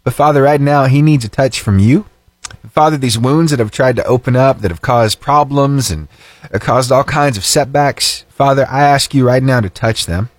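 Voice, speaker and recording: A man's voice: mid-range voice, normal speed, dry recording. Man, radio host, studio mic, slightly itchy throat, upbeat chatty talk. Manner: animated, fervent praying then chatty and upbeat. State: slightly itchy throat, voice lower than usual. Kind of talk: prayer and casual radio chat. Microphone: studio microphone.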